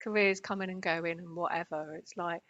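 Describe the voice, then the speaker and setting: A British woman of fifty, chatting warmly and animatedly as a guest about her spiritual work, over slightly dull audio. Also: lowish voice, steady tone, moderate pace. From Great Britain. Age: 50s. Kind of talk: casual conversational interview.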